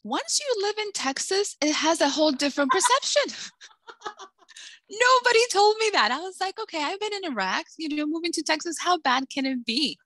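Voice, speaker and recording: A woman's voice: very high voice, normal speed, dry recording. A middle-aged woman author from the Dominican Republic, raised in Massachusetts, chatting in a casual interview and acting out the voices of people in her stories. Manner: animated storyteller, acts out quoted voices. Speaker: author guest.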